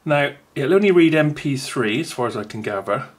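A man's voice: mid-range voice, expressive, fairly quick. A man casually demonstrating a small gadget at a table, rambling and correcting himself as he goes. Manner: rambling, self-correcting, chatty. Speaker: product reviewer. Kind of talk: casual unboxing demo. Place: indoors at a wooden table.

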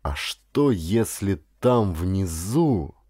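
Low, mellow male audiobook narrator. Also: animated, very slow, slightly rough, dry recording, studio quality. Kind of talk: dramatic scripted audiobook narration, then direct address to listeners.

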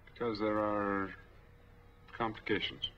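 A man's voice: lowish voice, flat delivery, slow. An actor playing a tense, guarded man on the run in a 1940s film noir, speaking tersely and in a businesslike way. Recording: muffled, noisy 1940s film soundtrack.

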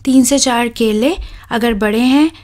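A young woman, hosting a cooking channel and talking viewers through a recipe in a steady, instructive voice-over. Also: fairly high pitch, unhurried. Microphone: voice-over, close mic.

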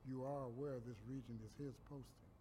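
Mellow older outlaw leader played by a man. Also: medium pitch, fairly even intonation, fairly quick, slight background noise, close to an everyday voice. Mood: grave, guarded. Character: measured, wise older male outlaw leader. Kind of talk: video game cutscene acting.

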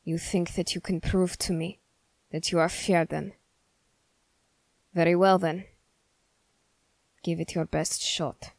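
A woman acting a low, slow, stern young soldier. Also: level tone, vocal fry, a little mellow, dry recording, full character voice, poor audio. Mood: wary, challenging. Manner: measured, halting, formal phrasing. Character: stern, wary young male soldier from a snowy northern land. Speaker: roleplay voice performer. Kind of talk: ASMR character roleplay addressed to the listener.

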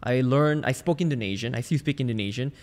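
A man's voice: medium pitch, fast talker, a little mellow. Podcast guest: man from Malaysia, mid-range voice, casual conversational storyteller, long reverb on the recording. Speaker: podcast guest, sales trainer and entrepreneur. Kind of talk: casual personal storytelling in an interview.

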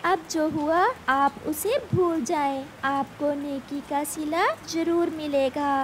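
Cartoon mother bird, female performer, very high pitch, slow soothing delivery, gentle and earnest. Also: fairly even intonation, slight background noise. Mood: sympathetic. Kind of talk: Hindi animated moral-story dubbing.

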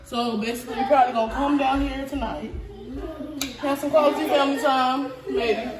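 A woman's voice: sits high, fairly even intonation, a little fast, far from the mic. A young woman giving a casual house tour to viewers, chatty and often repeating her phrases, recorded on a handheld phone while walking indoors. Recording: roomy, noisy, voice off from mic.

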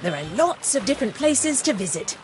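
A woman's voice: high voice, very animated, a little fast, music in the background. A woman voicing a cheerful, welcoming otter ship captain in a children's cartoon game, hearty and encouraging. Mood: jolly, hearty.

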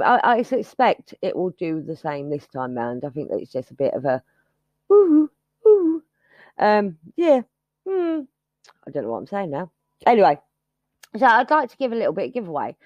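A woman's voice: on the low side, a little mellow, no room sound, muffled recording. A woman chatting casually to her subscribers, rambling and self-mocking, with lots of restarts. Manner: chatty, self-mocking, scattered, starts with sung lines. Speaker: YouTube channel host.

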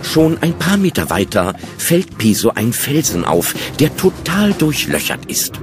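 A man's voice: fairly high pitch, big pitch swings, measured pace, over music. A man narrating a children's audio drama as its storyteller.

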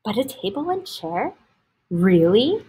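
A woman's voice: medium pitch, sing-song, slow, dark tone, slightly rough, exaggerated voice. A woman voicing nosy, doubting animals in a children's storybook, blunt and questioning. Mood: doubtful.

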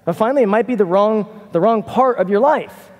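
Middle-aged pastor, casual chatty sermon, kinda high voice, echoey big church. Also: male voice, a little fast, dull audio. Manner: conversational, animated, paces the stage. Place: church stage in a large hall. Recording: reverberant hall.

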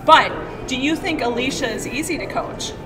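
A woman's voice: sits high, music in the background. Upbeat woman interviewing in a roomy gym. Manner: upbeat, laughs often, quick questions. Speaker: gym coach hosting an interview. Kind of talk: casual interview chat. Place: gym room, sitting on the floor.

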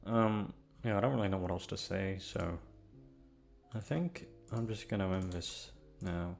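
A man's voice: deep voice, measured pace, vocal fry, faint music behind. A man chatting casually and hesitantly to his listeners, rambling along in a self-deprecating, sweary way. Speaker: audio content creator.

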